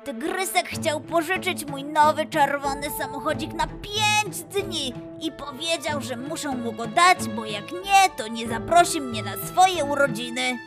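A woman's voice: high voice, lively intonation, measured pace, over music. A woman voicing a small, earnest, sensitive young male animal in a children's audio story, talking simply like a child. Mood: sulky, confessing.

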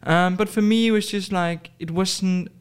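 A man's voice: high-pitched, steady tone, normal speed, smooth. A man in his twenties from Denmark, chatting casually as a podcast guest, hesitant with many fillers and restarts. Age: 20s. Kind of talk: casual interview chat.

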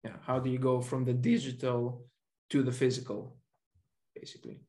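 A man's voice: medium pitch, completely flat delivery, normal speed, darkish tone. A man from Greece, speaking casually as a technical guest on a podcast. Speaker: podcast guest, OT security specialist. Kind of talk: casual explanatory chat.

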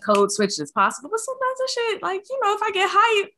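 A woman from Detroit with a Detroit accent, hosting a podcast in a frank, animated casual chat, cursing freely. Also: very high voice, quick pace, slight background noise. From Detroit, USA. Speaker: podcast host.

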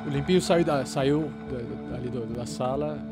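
A man's voice: medium pitch, normal speed, over loud music. A man playing a tabletop game, improvising casual narration about his character.

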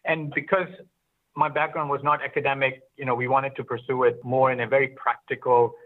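A middle-aged man from Pakistan who grew up in Oman, telling his story as an interview guest in a reflective, halting way. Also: mid-range voice, level tone, narrow, tinny audio. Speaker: interview guest, business founder. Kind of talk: conversational personal storytelling.

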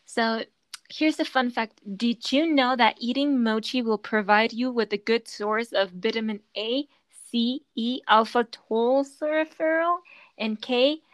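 Woman host chatting away, kinda high voice, dry close sound, lots of likes and ums. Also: unhurried, no echo. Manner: chatty, explaining with many fillers. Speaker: podcast host. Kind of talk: casual chat.

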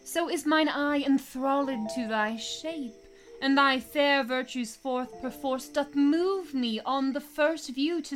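A woman playing an imperious, lovestruck fairy queen, lyrical and regal, in a Shakespearean audio drama. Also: high-pitched. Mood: enamoured.

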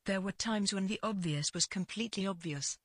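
A woman with a husky voice narrating literary fiction as a scripted read, in deliberate phrases with pauses. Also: mid-range voice, dry recording, clean studio sound.

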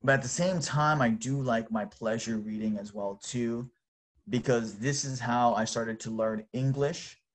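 A man who grew up speaking Japanese hosts a casual solo chat in a mid-pitched voice. He talks to his listeners informally, dropping in slangy exclamations. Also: unhurried. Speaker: host.

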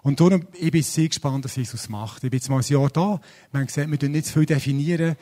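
A man in his forties with a Swiss German accent, preaching a sermon in a casual, lively, self-deprecating way with many fillers. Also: on the high side, fast. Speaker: pastor. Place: church service.

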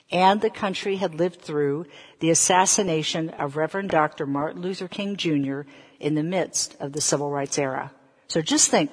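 An American woman in her fifties from the South, a preacher telling personal stories in a deliberate manner and putting questions to her listeners. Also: lowish voice, husky. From Southern United States. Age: 50s. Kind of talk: sermon with personal storytelling. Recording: echoey hall with long reverb.

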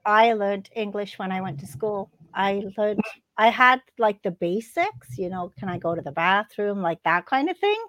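A woman's voice: medium pitch, lively intonation, moderate pace, fairly bright tone, dry recording, low-quality recording. A middle-aged woman talking in a casual, easygoing, conversational way. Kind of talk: casual chat.

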